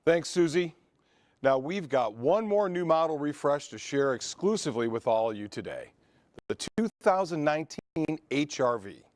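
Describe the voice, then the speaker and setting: A male presenter speaks in a polished, scripted way. His voice is a touch high for a man, and the sound is dry and close. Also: animated, normal speed, darkish tone, dry recording.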